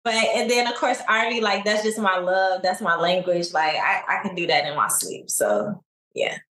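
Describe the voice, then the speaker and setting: A young adult woman from Chicago, a singer, talking in a relaxed, laid-back way in a casual interview. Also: on the low side, moderate pace. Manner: relaxed, laid-back, many likes and you knows. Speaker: singer guest. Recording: echoey room.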